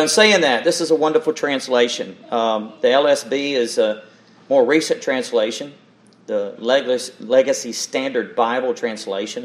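A man preaching a sermon and reading scripture in an earnest, emphatic manner, repeating words for weight and checking in with his listeners. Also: fairly low pitch.

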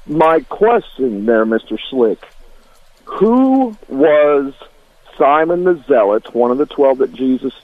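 A man's voice: medium pitch, sing-song, slow, no echo. A man calling in to a radio show, talking in a friendly, chatty way. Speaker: radio caller. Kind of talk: casual call-in chat. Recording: tinny phone line.

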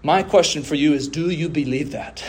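A man delivering a sermon as a preacher, with emphatic oratory, deliberate pauses, drawn-out phrases and rhetorical refrains. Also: on the high side, darkish tone. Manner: rhetorical questions and repeated refrains.

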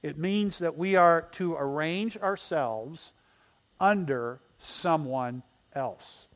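A man preaching a Sunday sermon whose first language is Spanish, reading scripture and asking the congregation questions. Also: on the high side. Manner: asks the congregation questions and slows for emphasis. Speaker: pastor.

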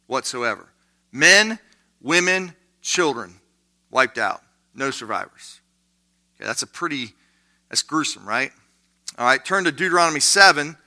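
A man teaching a Bible study class in a conversational way, with lots of fillers and quick asides. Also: mid-range voice, pushed and bright.

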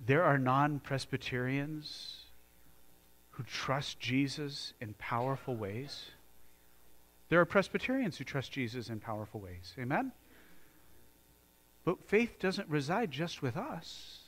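A man's voice: medium pitch, expressive, slow, long pauses, a bit bright. A man from the United States preaching a sermon in a church, earnest and conversational, often restarting his sentences. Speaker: pastor.

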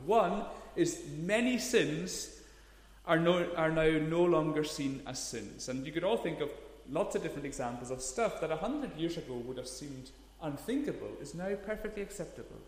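A man, preaching a sermon in an earnest, emphatic way, leaning hard on key words. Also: a little high. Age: 30s. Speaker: pastor. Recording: echoey hall, long reverb.

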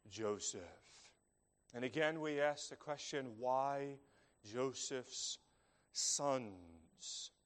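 Guy preaching real slow, lots of pauses, big echoey hall sound. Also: medium pitch. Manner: slow, measured phrasing with long dramatic pauses. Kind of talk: sermon. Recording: long reverb of a large hall.

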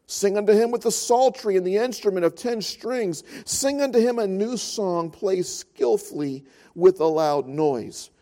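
A high-pitched man delivers a Sunday sermon. He tells a story with an earnest tone and drives his points home by repeating phrases. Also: expressive, unhurried, dark tone. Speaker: pastor.

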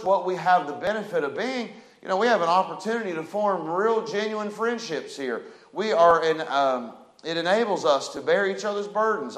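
Booming folksy preacher, echoey sanctuary. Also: male voice. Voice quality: loud booming voice. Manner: folksy, rambling with fillers, reads scripture slowly. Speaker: pastor. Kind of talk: midweek sermon. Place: church sanctuary, livestreamed.

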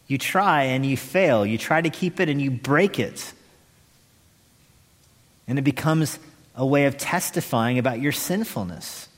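A man preaching an expository sermon as a pastor, emphatic and deliberate, repeating key phrases with pauses. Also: mid-range voice, animated. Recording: echoey hall with long reverb.

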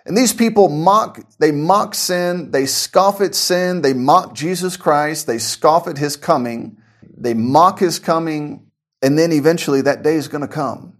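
Man, preacher, earnest, stumbling restarts, voice a little high. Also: a little slow. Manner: earnest, repeats words as he restarts. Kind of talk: conversational radio-style sermon.

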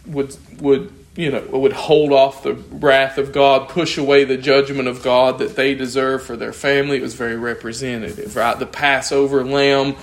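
Hoarse man teaching a church class. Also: medium pitch, little pitch movement, normal speed, hardly any pauses. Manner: conversational, thinking aloud, asks the class questions. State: losing his voice. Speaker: Bible study teacher.

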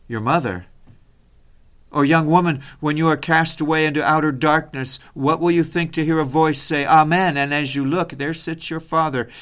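A man preaching an old-fashioned hellfire sermon in a solemn, declamatory, rhetorical way, with a medium-pitched voice. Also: fairly bright tone.

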